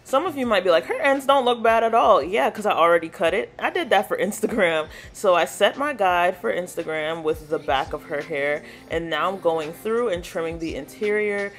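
A woman's voice: on the low side, normal speed, hardly any pauses, soft background music. A woman hairstylist narrating her salon vlog in a casual, chatty way, walking viewers through her steps. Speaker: natural hairstylist.

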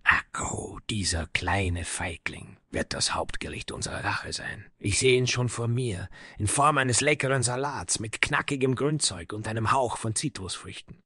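A man voicing a gruff dwarf warrior in a fantasy audio story, scheming with dark relish in a gravelly, husky voice. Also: mid-range voice, normal speed, hardly any pauses, no room sound, clean studio sound. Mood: sinister glee.

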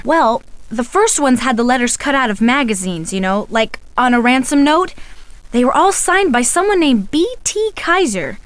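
A woman's voice: a little high, dry recording. A woman playing a friendly young soap opera actress in a video game, talking in a confiding, conversational way. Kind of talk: video game character dialogue.

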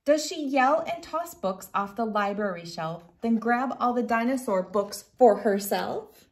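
A woman in her thirties reading a picture book aloud in an expressive storytelling manner for young children. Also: on the high side, measured pace. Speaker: children's book reader and host. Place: living room at home, indoors.

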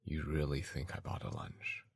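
A man acting a gruff, guarded young bully softening toward his sweetheart, in an intimate, halting ASMR roleplay, often near a whisper. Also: very deep voice, monotone, background noise. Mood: reluctant, apologetic. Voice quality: husky, gravelly, breathy voice.

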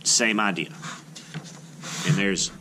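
A man reading a children's book aloud as an art teacher, adding expressive explanatory asides. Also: deep voice, slow pace, noisy background. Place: indoors, book filmed on a table.

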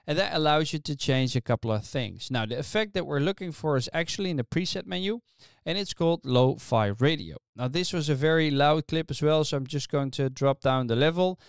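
A man with a smooth, fairly high voice walks through a software tutorial in a casual, step-by-step way. He speaks close to a studio microphone, and the sound is crisp and dry. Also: fairly quick, dry recording. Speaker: tutorial host. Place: small indoor studio, dry. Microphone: close studio microphone on a stand.

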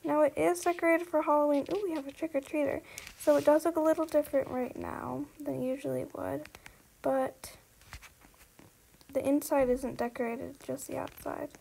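A young woman narrates a casual house tour in a video game. Her voice is high with plenty of vocal fry. She talks in a chatty, apologetic, giggly way. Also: level tone, normal speed, dark tone, a little hiss or hum, low-quality recording.

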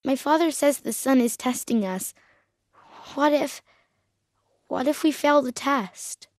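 A hungry, worried refugee child from a game, fretting in a fairly high, plain young voice. The sound is close and dry, with no room around it. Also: female voice, takes it slowly, no echo. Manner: anxious, wondering, plain-spoken. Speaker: video game background character. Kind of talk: video game voice lines.

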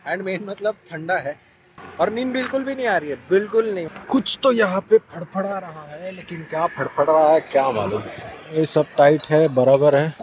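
A young man casually narrating a road-trip vlog from inside his car and at roadside stops, explaining routes and tips to his viewers. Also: on the high side. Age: young adult. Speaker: travel vlogger. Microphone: small camera held or mounted close. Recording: road and wind noise behind.

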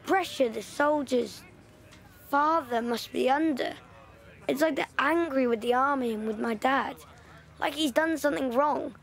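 A woman's voice: sits high, creaky. A woman playing a frustrated wartime girl. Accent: English. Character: troubled teenage girl, father in the army. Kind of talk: radio play dialogue.

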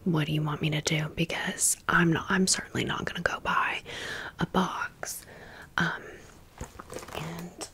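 A young American woman speaks in a breathy whisper, taking her time. She tells a casual ASMR story close to the mic, rambling and dropping in sassy, emphatic asides. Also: sits low, steady tone, measured pace, bright, forceful tone. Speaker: ASMR video host.

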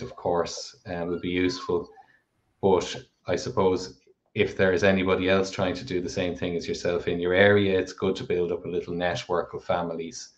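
A middle-aged man with a very deep, even voice talks through viewer questions on a video call. He is measured and reflective, often pausing with "I suppose". The headset audio sounds dull and lo-fi. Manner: measured, thoughtful, with frequent "I suppose". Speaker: co-host of a live Q&A. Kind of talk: live-stream Q&A answering viewer questions. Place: home room with a plant behind.

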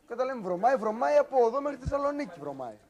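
A man's voice: extremely high pitch, big pitch swings, darkish tone. A young man, a reality show contestant, pushing hard in a heated group argument and repeating himself. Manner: pushy, confrontational, repeats himself.

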